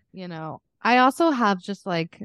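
An American woman in her thirties chatting casually as a podcast co-host, rambling and quick to laugh. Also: mid-range voice, animated, fairly bright tone, smooth, dry recording, clean studio sound. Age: 30s. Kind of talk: casual chat.

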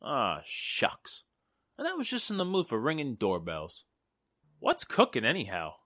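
A man's voice: medium pitch, wildly swooping pitch, measured pace, fairly bright tone, dry recording, clean studio sound, full character voice. A man acting a playful, wisecracking fellow in a 1940s-style radio drama, with jokey, theatrical patter. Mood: playful, wry.

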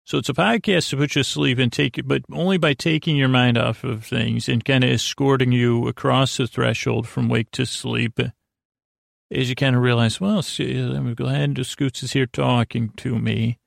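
Creaky-voiced man rambling through a bedtime podcast. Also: medium pitch, steady tone, no echo, studio quality. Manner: meandering, halting, stuttering over words, self-deprecating; mildly silly, playful wordplay. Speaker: podcast host. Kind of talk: rambling bedtime monologue, loose storytelling.

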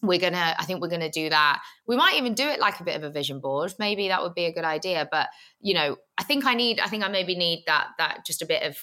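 Bright-voiced British woman co-host. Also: fairly low pitch, quick pace, dry recording, clean studio sound. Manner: chatty, animated. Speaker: podcast co-host. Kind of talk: casual chat.

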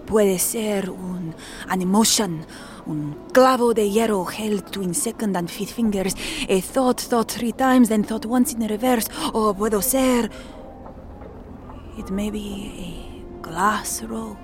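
An actress playing a frightened, half-mad woman with a Spanish accent, halting and haunted, slipping Spanish words into her English. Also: mid-range voice, soft background music, natural voice. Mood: cryptic, rambling. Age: middle-aged. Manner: halting, haunted, mixing Spanish into English, often dropping to a whisper. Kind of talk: audio drama acting.

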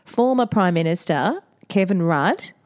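A woman's voice: lowish voice, expressive, a little slow, darkish tone. A woman co-hosting a podcast, chatting casually with cheeky, bawdy jokes and frequent laughter. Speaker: podcast co-host. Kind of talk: casual chat.

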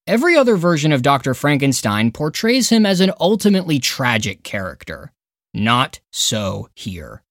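A man's voice: medium pitch, fairly bright tone, no echo, studio quality. A man reading a scripted review countdown as a podcast narrator and critic, with an emphatic, opinionated, dramatic way of phrasing.

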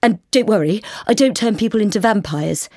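A moody young vampire boyfriend who feeds on tears, whining and self-pitying, then smugly needling his girlfriend, as a woman narrator puts him on. His voice is mid-pitched and plain, recorded close and dry with no room sound. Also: expressive, a little fast, a little mellow, dry recording. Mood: sheepish. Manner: whiny, self-pitying, manipulative.

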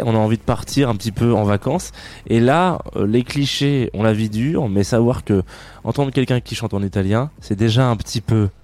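Hesitant radio host man, dark voice. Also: medium pitch. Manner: hesitant, many fillers and restarts; jokey, self-mocking. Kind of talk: live radio show chat.

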